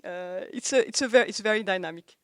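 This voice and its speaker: A woman speaks thoughtfully at a press conference, pausing often with fillers. Her voice is smooth and clear, with a dry close sound. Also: mid-range voice, no echo.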